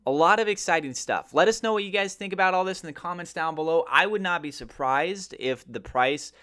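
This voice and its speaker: Guy with a kinda higher voice doing casual stock and crypto commentary, emphatic, talks straight to viewers. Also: fairly quick, pushed and bright. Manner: emphatic, rhetorical questioning, colloquial. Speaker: finance and crypto video host.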